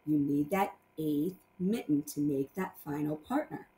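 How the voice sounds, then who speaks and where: A woman teaching primary math to young children, reading aloud and explaining deliberately with sing-song emphasis on key words. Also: sits low, very animated, dark tone, roomy sound, slight background noise.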